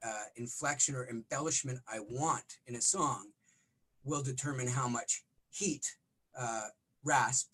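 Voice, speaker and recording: A middle-aged man, a rock vocalist, talking in a casual interview with a smoky, raspy voice and lots of restarts. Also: medium pitch, a little slow, fairly bright tone, slight background noise, poor audio. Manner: rambling, frequent restarts and fillers.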